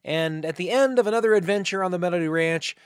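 A man's voice: high-pitched, fast, clear, resonant tone, no room sound, clean studio sound. A man hosting a storytelling program, reading scripted narration in a folksy way. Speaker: host.

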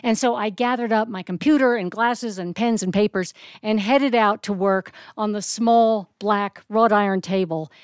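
An American woman telling a story live on stage in an expressive, descriptive manner with deliberate phrasing. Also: medium pitch, clear, resonant tone, no echo, studio quality. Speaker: storyteller and actress. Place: performance studio.

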